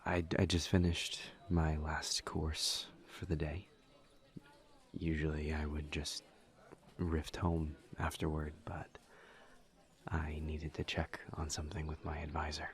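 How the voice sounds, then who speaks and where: A man acts out a shy male incubus who is flirtatious by nature yet careful and courteous. His deep voice has a gravelly creak and softens toward a whisper. He talks extremely slowly and haltingly, as in an intimate audio roleplay. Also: completely flat delivery, heavily acted. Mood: awkward, polite. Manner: hesitant, halting, awkward small talk. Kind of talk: audio roleplay acting, intimate one-sided conversation.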